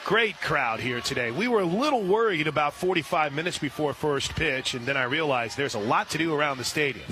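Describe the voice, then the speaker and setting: A man calling live softball play-by-play as a radio announcer, reading sponsor lines between pitches. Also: animated, a little fast, background noise. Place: outdoor ballpark during a live game. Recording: loud outdoor stadium ambience. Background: loud stadium ambience.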